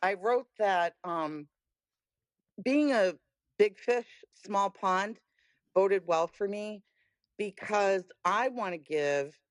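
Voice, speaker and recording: A woman's voice: on the low side, no room sound. A woman, telling her story as a podcast guest in a candid, emphatic way. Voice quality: raspy voice. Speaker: guest, music booker. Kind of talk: podcast conversation.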